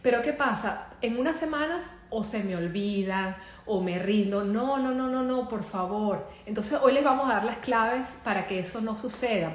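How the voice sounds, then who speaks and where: A woman with a Venezuelan accent, a psychologist hosting a live online show in an upbeat, welcoming way. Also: mid-range voice. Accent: Venezuelan Spanish. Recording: roomy echo, faint background noise.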